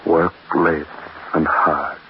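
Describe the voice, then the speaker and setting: An actor playing a haunted, despairing man who broods over his downfall in slow, dramatic 1930s radio horror style. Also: a bass voice, flat delivery, exaggerated voice. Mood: brooding, bitter. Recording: muffled, noisy old radio recording.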